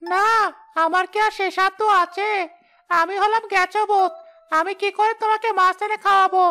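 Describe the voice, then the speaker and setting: A woman's voice: very high-pitched, little pitch movement, moderate pace, bright, forceful tone, exaggerated voice. A woman voicing a meek, hungry tree-ghost husband in a cartoon, coaxing and apologetic. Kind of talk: cartoon ghost-story dialogue.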